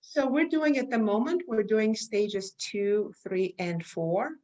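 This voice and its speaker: A middle-aged woman from Armenia with a slight Armenian accent, answering interview questions in a measured, explanatory way with frequent fillers. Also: medium pitch, a little mellow, rough recording. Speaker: guest, research company chair.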